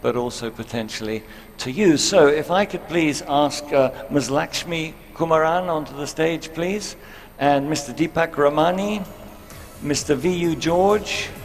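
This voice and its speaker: A man's voice: fairly high pitch, unhurried, faint music behind. A man hosting a live talk show on stage in a big hall, welcoming guests and inviting them up. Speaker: host and moderator. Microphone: handheld microphone.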